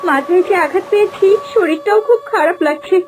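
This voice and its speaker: A woman voicing a gentle young heroine in a cartoon, tearful and pleading for her life. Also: very high-pitched, fairly quick, slight background noise. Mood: frightened. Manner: pleading, tearful cries for help. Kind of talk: cartoon voice acting. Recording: music bed underneath.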